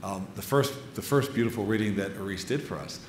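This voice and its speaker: A man with a lowish voice hosts a literary conversation in an echoey, noisy venue. He speaks with emphatic admiration, repeating key words for effect. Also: brisk, darkish tone. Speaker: on-stage interviewer. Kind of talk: literary conversation with quoted passages. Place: live stage event before an audience. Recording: echoey hall with clear background noise.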